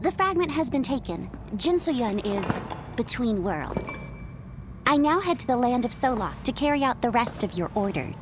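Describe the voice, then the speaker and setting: An actress playing a theatrical, playful villainous enchantress who serves a dark master in a video game. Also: female voice, on the high side, sing-song, normal speed, noisy background. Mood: dutiful. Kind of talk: video game cutscene acting. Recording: loud music over the voice.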